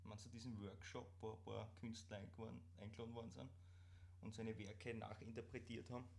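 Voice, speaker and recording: A man with an Austrian accent chatting casually as co-host of a live stream, in broad dialect with halting, repeated words. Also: fairly low pitch, level tone, gravelly, a little mellow.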